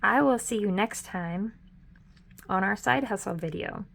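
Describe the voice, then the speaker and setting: Middle-aged woman, host of a budgeting vlog, chatty and upbeat, a touch hoarse, mid pitch. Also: measured pace, fairly bright tone. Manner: chatty and upbeat, with frequent ums and self-corrections. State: hoarse, losing her voice. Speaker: budgeting vlogger. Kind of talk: casual budget walkthrough.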